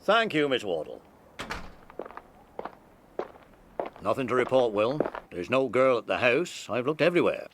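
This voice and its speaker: Man as an animated, baffled police sergeant. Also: mid-range voice, fairly quick, noisy background. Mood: businesslike. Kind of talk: children's audiobook dramatization.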